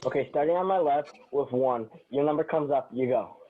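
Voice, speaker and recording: A man's voice: mid-range voice, fairly quick, close to an everyday voice. A man reading the part of a cool, guarded, dismissive man in a sitcom table read. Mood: dry, brisk. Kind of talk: amateur table read acting. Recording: phone-like, noisy line with clicks.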